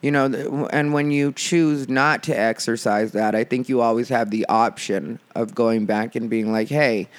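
A man with a nasal voice hosting a casual podcast, chatty and quick to laugh, acting out what others said. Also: medium pitch. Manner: chatty, laughs often, animated retelling. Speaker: podcast host. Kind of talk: casual chat.

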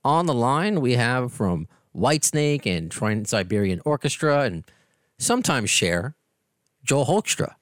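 A middle-aged podcast host with a New York accent talks in a casual, self-deprecating way. His pitch swings widely as he chats. The sound is dry and close, with no room around it. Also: unhurried, no echo. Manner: chatty and self-deprecating, animated intonation. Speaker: podcast host and radio presenter. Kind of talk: casual interview chat.

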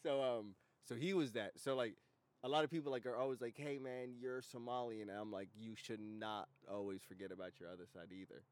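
A man's voice: mid-range voice, fairly quick, dry recording. An American man hosting a casual comedy podcast, chatting in a slangy, profane, irreverent way. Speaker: podcast host.

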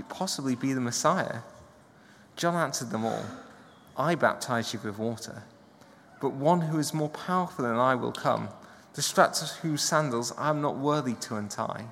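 A man reading a Bible passage aloud in a steady manner, lifting his voice for quoted speakers. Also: medium pitch. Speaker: Bible reader. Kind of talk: scripture reading. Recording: long church reverb, faint background noise.

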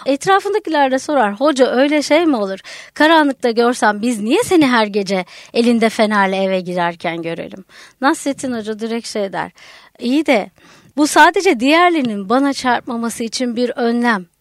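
A woman guest on a radio show, a folklore researcher explaining ideas in a lively way with anecdotes. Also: fairly high pitch, brisk, no room sound, clean studio sound. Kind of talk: radio conversation. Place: radio studio.

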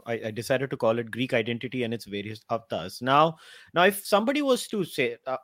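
A man hosts a casual podcast interview in a loose, chatty way, often restarting his sentences. His voice sits at an everyday middle pitch. It sounds dry and close, with no room around it. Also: a bit bright, no room sound. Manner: chatty with frequent restarts and small laughs. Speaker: podcast host.

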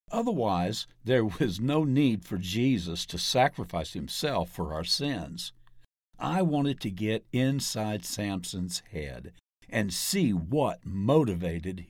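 Male narrator, lowish pitch, dry close sound, measured scripted article reading. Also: darkish tone, no room sound. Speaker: reader of articles.

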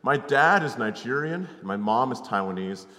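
A man's voice: mid-range voice, lively intonation, normal speed, bright, forceful tone. A man in his thirties with an American accent gives an informal, conversational sermon as a missionary, often checking in with short tag questions, in a hall with long reverb. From Connecticut, United States. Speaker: missionary preacher, guest speaker. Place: large hall, speaking to an audience.

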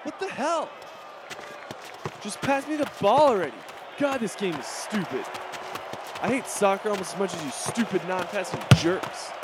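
Cartoon rich brat schoolboy, male actor, cocky, sarcastic, high and very animated, faint noise behind. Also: normal speed, pauses often, crowd noise. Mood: impatient. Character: bratty, swaggering, foul-mouthed rich schoolboy. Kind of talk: animated comedy voice acting.

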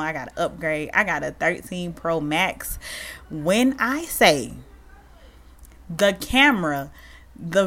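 A thirty-year-old woman hosting her own podcast, talking casually and with animation straight to her listeners. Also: low-pitched. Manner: animated and chatty, addresses listeners as y'all. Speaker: podcast host. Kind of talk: casual solo podcast chat.

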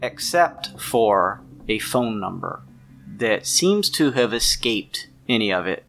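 A man's voice: on the low side, big pitch swings, slow, gravelly, fairly bright tone. A man narrating a tabletop mystery game as game master, in a conversational, descriptive way. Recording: music playing behind the voice. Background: background music.

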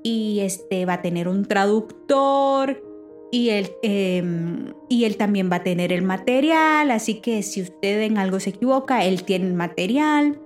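A woman who preaches, with Spanish as her first language, telling a personal story in a lively, halting way with many restarts. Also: mid-range voice, soft background music. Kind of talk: personal testimony, casual talk.

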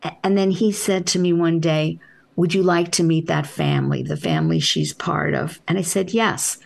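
A woman author with a soft voice, answering interview questions in an unhurried, reflective way with halting restarts. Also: fairly low pitch, lively intonation, creaky.

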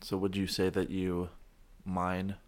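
A young man hosting a casual podcast, his voice hoarse from shouting, talking in a goofy, rambling way with frequent restarts. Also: low-pitched, completely flat delivery, takes it slowly. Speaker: podcast host. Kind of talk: casual chat.

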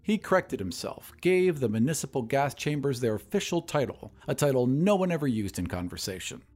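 A man narrates as the host of an audio story show. His delivery is scripted and dramatic, with a medium-pitched voice that moves expressively. Music plays underneath. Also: sing-song, brisk, a little mellow.

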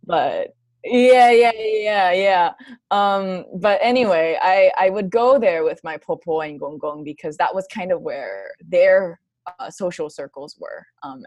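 Low-voiced young woman from Hawaii, dry sound. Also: darkish tone, no room sound. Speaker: guest, graduate student. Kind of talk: casual interview over video call. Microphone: video call.

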